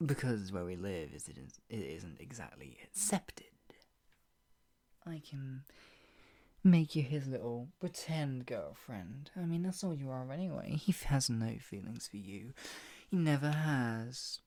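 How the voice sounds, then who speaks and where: A woman playing a menacing, obsessive yandere captor in an ASMR roleplay, husky and taunting, swinging between mock pity and threat, often dropping into a breathy whisper. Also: very deep voice, very animated, unhurried, mellow, heavily acted. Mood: scornful. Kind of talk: ASMR roleplay, improvised monologue.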